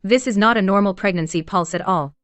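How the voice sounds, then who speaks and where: A woman voicing a cool sharp heroine. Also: medium pitch, fairly quick, no room sound, close to an everyday voice. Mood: cold. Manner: cool, precise and cutting. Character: calm sharp-witted young woman, hidden powerful boss. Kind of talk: dubbed short drama acting.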